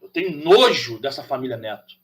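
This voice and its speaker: A man's voice: medium pitch, very animated, a little fast, fairly bright tone. A man from Rio de Janeiro with a Carioca accent, delivering an opinionated monologue as a channel host, preachy and full of rhetorical questions. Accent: Carioca Brazilian Portuguese. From Rio de Janeiro, Brazil.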